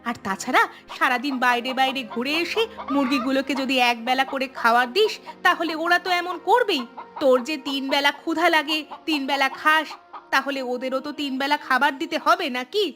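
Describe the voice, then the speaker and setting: A woman's voice: sits high, fairly quick, pushed and bright, faint music behind. A woman voicing a nagging, exasperated village mother in a cartoon, scolding and complaining. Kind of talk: cartoon voice acting.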